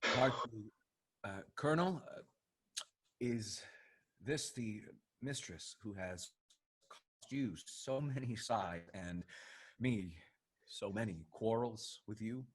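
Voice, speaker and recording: A hapless, sardonic gentleman of Restoration comedy, acted by a man. His raspy, lowish voice swings in pitch and takes its time over each phrase. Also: lively intonation, slow pace, pauses often, exaggerated voice. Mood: sheepish. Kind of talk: theatrical period comedy acting.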